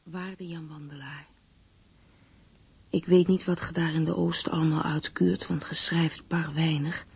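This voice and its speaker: An actress playing an earnest letter-writer in a radio drama, reading firm advice with breathy, measured urgency. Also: female voice, sits low, steady tone, no echo, full character voice. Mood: earnest pleading.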